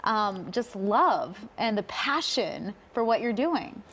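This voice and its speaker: A woman's voice: mid-range voice, very animated, slow, fairly bright tone. A woman in her thirties anchoring a TV news segment, upbeat and encouraging, on a lapel mic in the studio.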